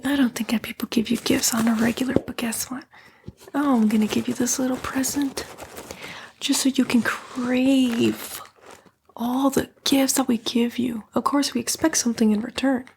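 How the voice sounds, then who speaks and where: A woman voicing a sly female demon in a whispered, breathy ASMR roleplay, coaxing and taunting the listener in a casual way. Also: a little high, steady tone, moderate pace, a little mellow, a little hiss or hum.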